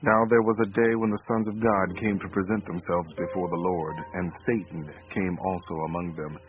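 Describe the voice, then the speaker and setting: Radio drama dad, male actor: low, sincere, subdued, remorseful, slightly muffled recording. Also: fairly even intonation, normal speed, natural voice. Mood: solemn. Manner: subdued, plain-spoken, sincere. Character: Martin, earnest, regretful small-town father. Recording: dull lo-fi audio, faint music.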